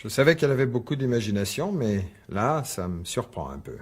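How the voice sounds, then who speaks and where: A man's voice: medium pitch, sing-song, brisk, slightly rough. An actor playing a worried, suspicious father in a French radio drama, insistently questioning and stammering over repeated words. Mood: puzzled. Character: worried, suspicious divorced father, stern with his daughter.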